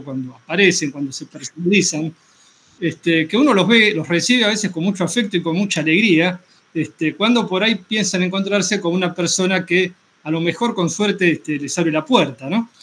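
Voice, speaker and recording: A man with an Argentine accent, a workshop teacher and radio host, speaking reflectively and informally in wordy, halting sentences. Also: high-pitched, fairly bright tone, a little hiss or hum.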